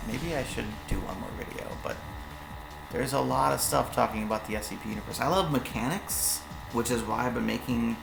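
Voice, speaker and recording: A man explaining the combat rules of his own tabletop role-playing game on a stream, rambling through quick examples with many fillers, his voice hoarse and fading. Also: mid-range voice, reverberant, low-quality recording. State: hoarse, voice going.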